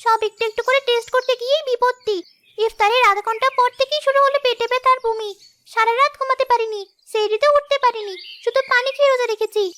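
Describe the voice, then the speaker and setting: Woman as young cartoon girl, sincere and emotive, piercingly high and bright, over music. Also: fairly even intonation, fast. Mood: regretful. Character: earnest young schoolgirl in a moral cartoon story. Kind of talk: scripted cartoon dialogue. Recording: music behind the voice.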